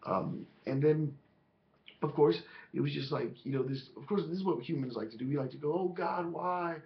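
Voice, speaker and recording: A man's voice: a little high, moderate pace, vocal fry. A man in his thirties, a minister, talking earnestly and reflectively about his own life, rambling with restarts and fillers. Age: 30s. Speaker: minister and vlogger. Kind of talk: reflective personal monologue. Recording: slightly dull lo-fi audio.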